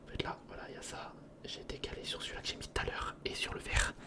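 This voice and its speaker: A man whispering an informal ASMR narration of hands-on work, thinking aloud and softly repeating words, close to the microphone. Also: quick pace. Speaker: ASMR video creator. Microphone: close recorder or studio microphone.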